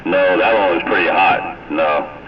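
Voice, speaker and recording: A man's voice: low voice, measured pace, a crowd in the background. An American man in casual CB radio chat, folksy and wry, with colloquial turns of phrase. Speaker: CB radio operator. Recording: muffled, noisy CB radio transmission.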